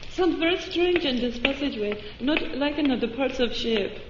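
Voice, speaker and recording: An actress playing a timid, anxious young foreign woman in a radio drama, speaking haltingly in broken grammar. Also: sits high, lively intonation, background noise. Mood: hesitant. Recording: dull, echoey, distant radio drama recording.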